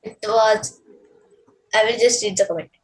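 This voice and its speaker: A woman's voice: on the high side, moderate pace, poor audio. Polite young girl on a video call. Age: about 11. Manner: polite, short replies. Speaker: student.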